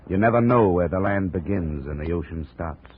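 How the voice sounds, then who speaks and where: An actor playing a laconic, hard-boiled American investigator in a 1950s radio drama, clipped and dry. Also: male voice, low voice, animated, moderate pace. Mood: narrating, weary. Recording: muffled, noisy old 1950 radio recording.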